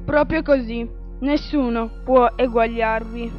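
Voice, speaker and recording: A woman playing a patient, flattering male opera agent in a radio drama, speaking in a placating, persuasive way. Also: a little high, slow pace, soft background music. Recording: dull, lo-fi radio drama sound.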